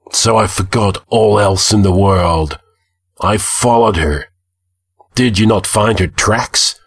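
A man's voice: lowish voice, a bit bright. A man voicing a grim, haunted barbarian warrior with a hazy, dazed delivery in a fantasy audiobook.

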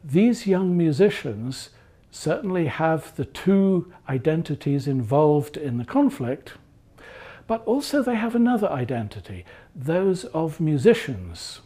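An older man, a former economist turned philosopher, explaining his research in a measured way with frequent pauses. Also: fairly high pitch, sing-song, warm tone, husky.

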